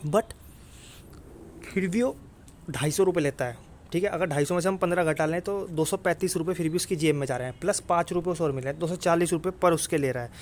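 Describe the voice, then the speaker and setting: A man with a North Indian accent and a slightly high-pitched voice talks directly to his viewers. He explains things informally, like an online coach. His manner is blunt and insistent, and he often repeats a point and checks that it has landed. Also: fast, a little hiss or hum. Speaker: exam coaching YouTuber.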